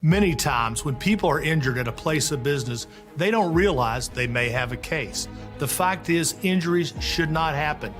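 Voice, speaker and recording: A man reading a scripted commercial in a measured, deliberate delivery. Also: fairly high pitch, lively intonation, fairly bright tone. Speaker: ad announcer. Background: music.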